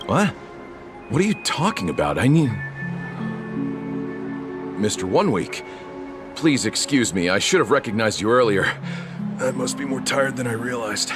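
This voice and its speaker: A man's voice: medium pitch, very animated, unhurried, pauses often, music in the background. An actor playing a weary, deferential male security guard in a video game, apologetic and hesitant.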